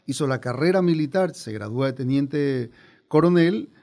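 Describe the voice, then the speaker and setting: An older man with a Venezuelan accent speaks as an interview guest. His voice has a noticeable fry and a dark, soft tone. He tells stories in a conversational way. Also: mid-range voice, animated, moderate pace. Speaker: interview guest, biographer and former politician.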